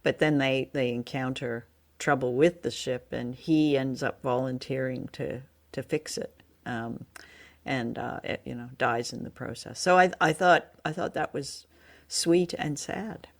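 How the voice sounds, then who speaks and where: A woman's voice: rumbling low, unhurried. A woman co-hosting a podcast, chatting casually through a review and often searching for her words. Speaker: podcast co-host.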